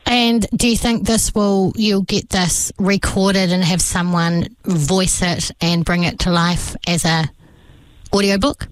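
A woman's voice: lowish voice, fairly even intonation, slow pace, no room sound. Woman, radio host, upbeat, chatty, dry studio sound. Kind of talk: radio interview.

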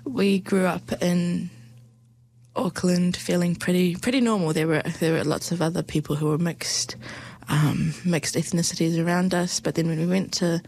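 A young woman with a New Zealand accent, reciting spoken word poetry and reflecting on it in a soft, slow, measured way with hushed phrases. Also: on the low side, steady tone, creaky.

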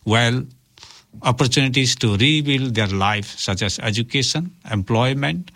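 A man's voice: medium pitch, normal speed, a bit bright. A man whose first language is Nepali, giving earnest, formally phrased answers as an interview guest. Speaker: interview guest, community worker and officiant for migrants and refugees.

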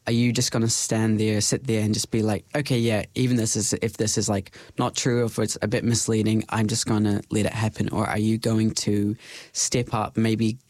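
Low-voiced young New Zealand man. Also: little pitch movement, moderate pace, a little mellow, dry recording. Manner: earnest, thinks aloud with many "like" fillers. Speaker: podcast guest. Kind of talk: casual podcast interview.

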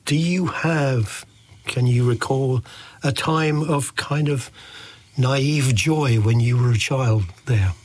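A man hosting a podcast interview, with a deep, comforting voice, asking long, measured and thoughtful questions. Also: a little mellow, breathy edge. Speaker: podcast host. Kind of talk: interviewing a guest.

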